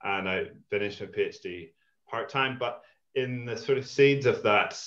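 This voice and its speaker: A man in his thirties speaks in a lowish voice, thoughtful and a little rambling, often restarting his sentences. The sound comes through a muffled, lo-fi video call line. It carries a roomy echo. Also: moderate pace, a bit bright. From Ireland and Malawi. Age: 30s. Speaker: guest, community farm founder. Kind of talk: casual interview chat over video call. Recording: echoey, dull lo-fi call audio.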